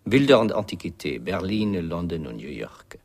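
An actor playing an oily, intrusive Haitian crook in a radio drama, effusive and affected, with a French accent and broken German. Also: male voice, low-pitched, sing-song, moderate pace, creaky, slightly rough, heavily acted. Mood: gushing, oily.

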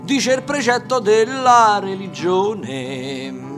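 Male Roman folk singer, very high, unhurried, mocking tone. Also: over music. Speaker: singer and guitarist. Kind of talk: sung Roman folk verses with guitar.

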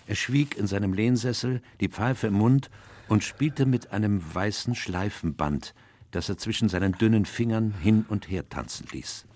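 An actor playing a reflective, loyal elderly doctor narrating his memoirs in a measured, literary way in a radio play. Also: lowish voice, a little mellow, slight background noise, close to an everyday voice. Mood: calm storytelling. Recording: music under the voice.

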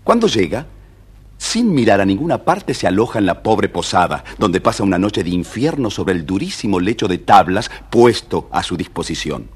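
Lively, husky Argentine male storyteller. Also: fairly low pitch, normal speed. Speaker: narrator. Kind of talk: scripted children's story narration.

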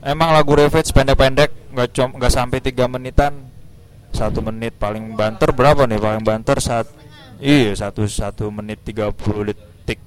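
A man's voice: medium pitch, steady tone, moderate pace, clean tone, rough recording. A male radio host with a Javanese accent from East Java. His talk is colloquial and loose, like a casual interview. He keeps nudging guests to join in and speak. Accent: Javanese-influenced Indonesian, East Java.

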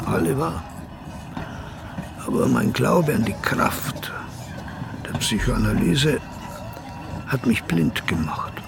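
An actor playing an educated psychotherapist in a German radio drama, speaking formally and articulately with anguish and irony. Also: male voice, mid-range voice, sing-song, creaky, full character voice. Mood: bleak, remorseful. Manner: articulate, formal, deliberate phrasing. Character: anguished male psychotherapist, learned and ironic, later desperate.

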